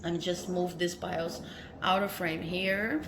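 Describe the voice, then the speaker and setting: Brazilian-accented woman, tarot vlogger, friendly and chatty, slightly low voice, phone-recorded with light background noise. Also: fairly even intonation, a little slow. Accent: Brazilian Portuguese. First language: Portuguese. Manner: friendly, tags sentences with "okay?", laughs between phrases. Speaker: tarot reader. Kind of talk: casual talk to camera.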